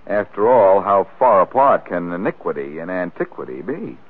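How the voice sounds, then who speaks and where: A deep male voice with very animated swings plays a breezy, wisecracking sleuth. He sounds confident and glib in the 1940s radio manner. The recording is thin and tinny. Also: normal speed, background noise.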